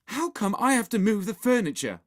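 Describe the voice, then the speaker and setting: Storybook role: sour, put-upon young girl, complaining in a high, swooping voice , acted by an adult narrator. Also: big pitch swings, fairly quick, natural voice. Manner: sulky, complaining.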